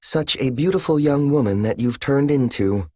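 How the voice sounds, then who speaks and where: Man doing a soft feminine machine voice, frail older mother, calm, even, higher than his own. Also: moderate pace, no echo, clean studio sound, close to an everyday voice. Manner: calm, even and pleasant, flat of feeling. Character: frail older mother speaking through a calm synthetic voice modulator.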